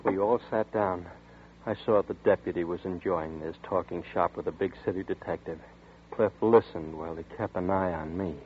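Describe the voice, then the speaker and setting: An actor playing a nervous young man who narrates the story in a quiet, shaken voice, in 1940s radio acting. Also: sits low, moderate pace, natural voice. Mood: uneasy. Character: nervous young male narrator and detective's companion. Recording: tinny noisy 1940s radio broadcast.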